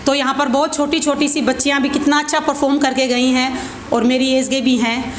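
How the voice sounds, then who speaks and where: A woman performing as a poet at an open mic, reciting verse with deliberate repeated lines and informal introductions. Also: sits high, little pitch movement. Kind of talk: spoken Hindi-Urdu poetry recital with informal introductions. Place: open mic event room. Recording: echoey room with clear background noise.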